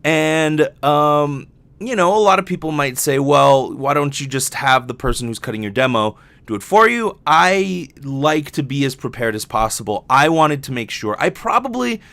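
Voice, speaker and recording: A man's voice: a little high, very animated, moderate pace, gravelly, fairly bright tone, no room sound. A young adult man talking casually and candidly as a solo podcast host, rambling and swearing freely.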